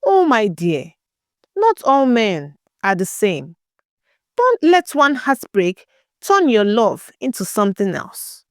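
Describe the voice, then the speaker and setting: A woman storyteller voicing a kind, patient married woman with a gentle, motherly, advising manner. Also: medium pitch, huge pitch swings, takes it slowly, dry recording, clean studio sound, heavily acted.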